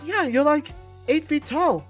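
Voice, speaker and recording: A cheeky young male fighter in a visual novel, acted by a woman. He is upbeat and encouraging with a teasing edge, pitched fairly high over faint music. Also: unhurried. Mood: teasing, excited.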